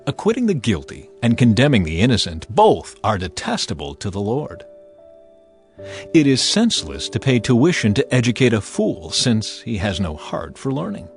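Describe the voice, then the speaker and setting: Expressive man reading scripture over music. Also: medium pitch. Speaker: audio Bible narrator.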